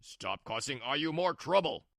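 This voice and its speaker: Give me a woman playing an older father-in-law in a manga dub, deep, firm and scolding, with a dry sound. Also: lively intonation, measured pace, gravelly, fairly bright tone, no room sound, heavily acted. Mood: stern, scolding.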